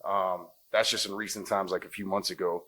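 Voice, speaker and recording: A man with a Jamaican accent and a deep, smooth voice, an actor and radio host chatting casually in an interview with many "you know"s. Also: flat delivery, brisk, a bit bright.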